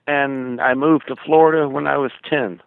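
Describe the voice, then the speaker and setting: A man from Oklahoma being interviewed as a guitarist, answering in a thoughtful, soft-spoken way and pausing to recall things. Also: mid-range voice, fairly even intonation, gravelly, no echo, narrow, tinny audio. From Oklahoma, USA. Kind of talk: casual interview chat.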